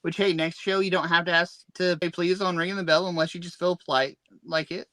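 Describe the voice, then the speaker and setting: A man's voice: high voice, fairly even intonation, a little fast, sharp tone, dry recording. A man hosting a wrestling podcast, chatting in a wry, matter-of-fact way. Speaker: podcast host and ring announcer. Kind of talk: casual podcast chat.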